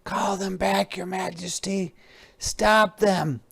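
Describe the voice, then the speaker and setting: An anxious young page boy from a storybook, acted by a woman. He talks in a hushed, deferential way, worried and politely pleading with someone above him. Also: medium pitch, fairly even intonation, slow, gravelly, husky, voice pushed up, heavily acted. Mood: urgent pleading.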